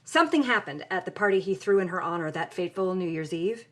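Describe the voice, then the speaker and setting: A woman's voice: lowish voice, lively intonation, quick pace, natural voice. A woman playing a loyal, insistent granddaughter of a movie star, speaking emphatically in a podcast interview. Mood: earnest, assured.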